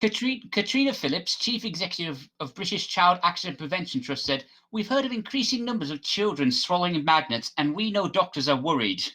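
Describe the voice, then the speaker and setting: A man's voice: sits high, sing-song, brisk, hardly any pauses, pushed and bright. A man on a podcast panel reading news items aloud with casual, exasperated asides.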